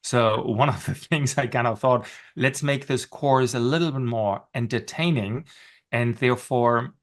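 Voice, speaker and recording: German-accented man explaining on a webinar. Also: on the low side. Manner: explanatory, with frequent "right?" tags and self-deprecating laughs. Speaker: panelist and course instructor. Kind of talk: conversational webinar talk. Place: home office.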